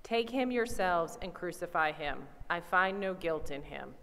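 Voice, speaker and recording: A woman reading the part of a Roman governor, formal and deliberate, in a scripture reading. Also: fairly low pitch, animated, a bit bright, slight background noise. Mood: formal, insistent. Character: Roman governor questioning a prisoner, male, uneasy authority. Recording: long echoing hall reverb.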